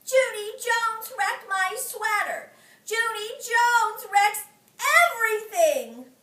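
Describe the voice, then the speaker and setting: Whiny tattletale schoolgirl, put on by a woman reader: shrill, lively, recorded a little off-mic in an echoey room. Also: slow, distant mic, exaggerated voice. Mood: shrieking tattling. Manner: shrill hollering.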